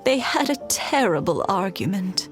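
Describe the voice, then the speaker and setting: An actress playing an elderly village wife in a video game, fondly teasing and wistful, speaking in a gently nostalgic way. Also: female voice, medium pitch, big pitch swings, moderate pace, over music, lightly acted. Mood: somber recounting.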